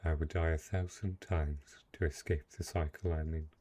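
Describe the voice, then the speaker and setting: Brooding dark knight, played by a male actor: deep, mellow, measured, lonely and possessive, for fantasy roleplay audio. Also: steady tone, slightly rough. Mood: soft, coaxing. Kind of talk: dramatic roleplay audio monologue.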